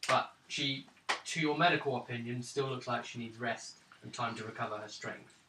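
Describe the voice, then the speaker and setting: A man running a tabletop role-playing game, narrating scenes in a measured, descriptive way. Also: mid-range voice. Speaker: game master. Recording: roomy, distant, noisy table recording.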